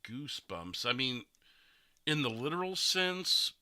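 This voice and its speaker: A man's voice: mid-range voice, sing-song, a little slow, sharp tone, no echo, studio quality. A man hosting a casual gaming podcast, rambling and thinking aloud as he talks. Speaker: podcast host and game streamer.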